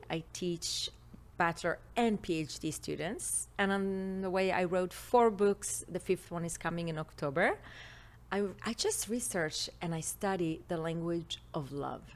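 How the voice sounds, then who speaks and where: Israeli-accented woman relationship expert, Hebrew native, lowish voice, confident teacher. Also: measured pace. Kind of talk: interview conversation. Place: podcast studio.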